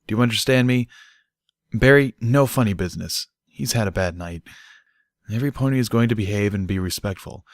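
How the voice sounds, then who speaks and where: A man's voice: on the low side, a little fast, no echo, studio quality, pitched above the performer's own voice, lightly acted. Man as a bossy, caring pegasus mare. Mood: firm, caring. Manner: firm, no-nonsense.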